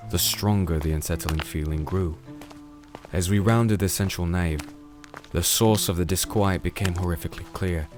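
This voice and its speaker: A man playing a calm, firm crusader in a fantasy audio drama, narrating and speaking in a measured, solemn way. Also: low-pitched, close to an everyday voice. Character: disenchanted male crusader, calm, firm sword-bearing investigator. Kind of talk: audio drama dialogue and first-person narration.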